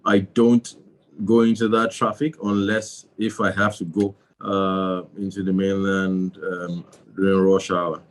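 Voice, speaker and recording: Measured, low-voiced male guest. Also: little pitch movement. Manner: measured, with hesitant fillers. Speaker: podcast guest.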